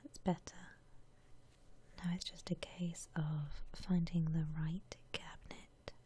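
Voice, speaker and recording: A woman whispering softly and breathily in a gentle, musing way as she gives ASMR commentary on a game. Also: lowish voice, flat delivery. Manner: gentle, unhurried, musing aloud. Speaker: ASMR streamer playing a house-decorating game.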